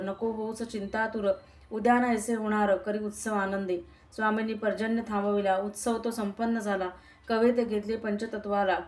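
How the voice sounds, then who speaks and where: A woman recites devotional verse at a middling pitch, her delivery steady and sing-song. The melody barely rises or falls, holding one even line from couplet to couplet.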